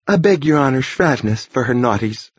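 A man's voice: mid-range voice, lively intonation, a little fast, breathy edge, no room sound, lo-fi sound, pitched above the performer's own voice. A man reading an audiobook voices a toothless old countrywoman who talks in a folksy old-fashioned dialect. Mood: fawning. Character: old toothless rustic tomato woman, wheedling.

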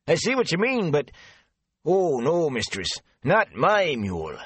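A man voicing a gossipy, rambling rustic gatekeeper with folksy country speech, high and lively. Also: a little slow, slightly rough, no room sound, heavily acted. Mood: eager. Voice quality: folksy dialect, rambling and eager.

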